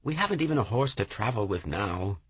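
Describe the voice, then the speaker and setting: A man's voice: fairly low pitch, very animated, fairly quick, fairly bright tone, breathy edge, no room sound, full character voice. A man voicing an impatient, fretful publisher who complains and demands answers in a storybook reading.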